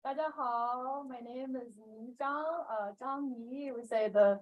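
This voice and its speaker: A woman's voice: fairly high pitch, fairly even intonation, unhurried, narrow, tinny audio. Woman, hesitant. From China. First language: Chinese. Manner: hesitant, many fillers. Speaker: public health researcher, panelist. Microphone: video call.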